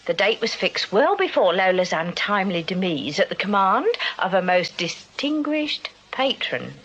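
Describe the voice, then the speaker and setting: A woman's voice: medium pitch, very animated, moderate pace, fairly bright tone, slightly rough, muffled recording. An actress playing a gossipy, coy Victorian landlady with a genteel English accent and an affected, arch, cooing manner in a radio drama. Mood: confiding, arch. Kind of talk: period radio drama acting.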